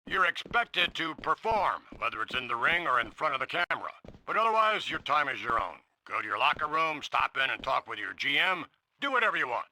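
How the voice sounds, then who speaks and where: Brash company boss, very forceful and bright, lively swings in pitch, close dry sound. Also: male voice, a little fast, dry recording, full character voice, poor audio. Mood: commanding. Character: brash authoritative male wrestling company boss. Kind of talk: scripted phone message.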